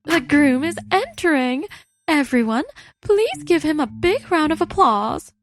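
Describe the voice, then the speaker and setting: A woman's voice: sits high, expressive, unhurried. A woman playing a polite, formal wedding attendant making announcements in a manga story dub. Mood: formal, announcing. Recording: music under the voice.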